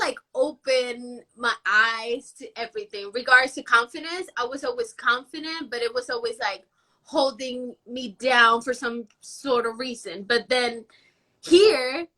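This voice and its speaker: A young woman from Puerto Rico answers interview questions in a high, bright voice. She talks bluntly and with total self-assurance, never hesitating over what she means. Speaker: guest. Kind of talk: casual interview.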